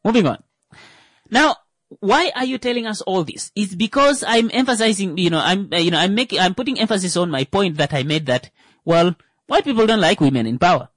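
A man's voice: high-pitched, lively intonation, brisk, a bit bright, no echo. A man hosting a radio talk show, joking and rambling with many fillers. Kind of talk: comedic monologue.

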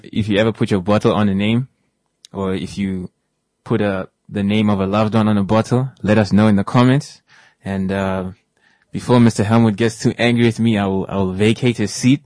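A man hosting live radio, relaxed and joking with guests and listeners. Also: fairly low pitch, dry recording. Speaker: radio host.

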